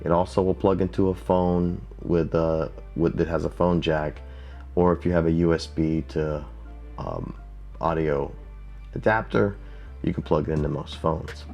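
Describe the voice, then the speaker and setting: A man with a deep voice giving a plain-spoken, casual tutorial as a video creator, talking into a lav mic at a desk at home and repeating points for emphasis. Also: steady tone, unhurried, creaky, darkish tone, soft background music. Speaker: YouTube creator teaching video basics. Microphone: lav mic, wired then wireless.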